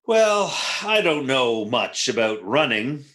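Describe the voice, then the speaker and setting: Man playing a jealous, exasperated, animated husband. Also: mid-range voice, slow pace, full character voice. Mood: boastful, then deflated. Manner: dry, exasperated. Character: George, jealous, exasperated husband, dry and boastful. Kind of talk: 1940s radio comedy acting. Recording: echoey room.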